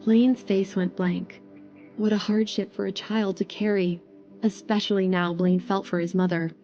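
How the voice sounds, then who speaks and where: Woman narrating a drama story, warm mellow voice, bit dull audio. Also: mid-range voice, flat delivery, moderate pace, soft background music.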